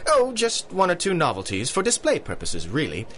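An actor playing a pompous, jovial British colonel and big-game hunter in a radio drama, with hearty, old-fashioned upper-class talk. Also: male voice, high-pitched, huge pitch swings, normal speed, a bit bright, slight background noise, heavily acted.

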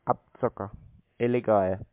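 A man's voice: fairly low pitch, steady tone, measured pace, no room sound. A man speaking casually and bluntly in a phone conversation, sounding unimpressed. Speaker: show host taking scam calls. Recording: band-limited, phone-like audio.

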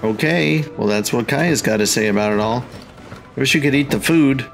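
A man's voice: medium pitch, moderate pace, creaky, soft background music. A man hosting a casual gaming let's-play, with playful interjections and exclamations. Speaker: gaming host.

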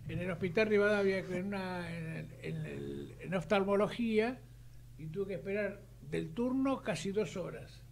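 Older Buenos Aires man, high gravelly voice. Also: very animated, moderate pace, a little hiss or hum. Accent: Buenos Aires Spanish. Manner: chatty, tells personal anecdotes. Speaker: radio show host. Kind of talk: conversational interview questions. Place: radio studio. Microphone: studio mic on boom arm.

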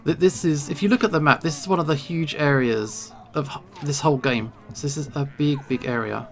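Nervous gamer with a creaky voice. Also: medium pitch, brisk, faint music behind. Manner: nervous, jittery self-talk. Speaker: video game streamer. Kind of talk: let's play commentary.

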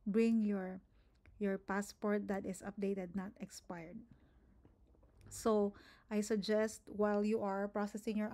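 Woman, Filipino accent, young adult, mellow tone, even pitch, tutorial walkthrough, restarts words. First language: Tagalog. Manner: repeats herself, frequent restarts and "okay?" checks. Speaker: nurse giving an application walkthrough. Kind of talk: screen-recorded tutorial, reading and explaining.

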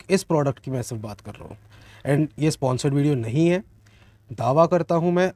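A man's voice: a little high, a little mellow. A young man with an Indian accent giving an informal, explanatory question-and-answer product review, mixing in English phrases, recorded outdoors in a garden. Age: young adult. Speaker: motovlogger reviewing gear. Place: outdoor garden, seated at a table.

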